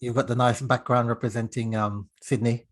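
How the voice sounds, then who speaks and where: A man hosting an interview podcast, speaking over a remote call in a steady conversational way. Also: mid-range voice, moderate pace. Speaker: podcast host.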